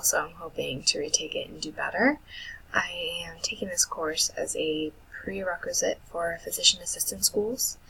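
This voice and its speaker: An American woman in her twenties with a breathy, husky voice, casually introducing herself as a student. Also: fairly low pitch, fairly bright tone, poor audio. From United States. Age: 20s.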